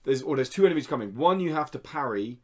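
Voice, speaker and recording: A man's voice: medium pitch, expressive, fast. Enthusiastic man chatting on a podcast. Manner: enthusiastic, rambling, informal. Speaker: podcast co-host. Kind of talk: casual gaming podcast chat.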